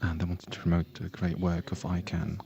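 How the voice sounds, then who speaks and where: Deep-voiced man, native Italian speaker. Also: monotone, gravelly, mellow, muffled recording. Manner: searching for words, halting, apologetic. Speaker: parliamentarian on a panel. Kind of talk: panel discussion answer.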